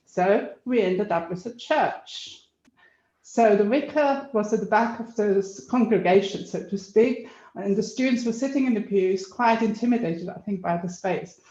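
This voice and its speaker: A woman's voice: medium pitch, moderate pace, mellow. A woman, a law lecturer, telling an amused anecdote on a video call. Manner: amused storytelling, laughing. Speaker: law professor. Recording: roomy, dull video call audio.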